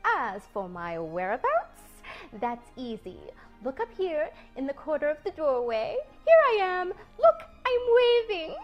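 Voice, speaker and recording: Genteel female spider, acted by a woman, thin high voice, self-assured, precise, animated storybook delivery, music behind. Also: a little slow, muffled recording, exaggerated voice. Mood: friendly, bright. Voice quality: thin, pleasant. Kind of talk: expressive children's storybook character reading.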